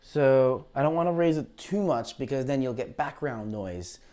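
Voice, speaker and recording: A man with a tired, low voice after heavy talking, hosting a live weather stream in a chatty, rambling way, talking into a lavalier mic. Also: expressive, normal speed, dark tone. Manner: chatty, rambling, unsure asides. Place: green screen studio, indoors. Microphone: lavalier clip mic.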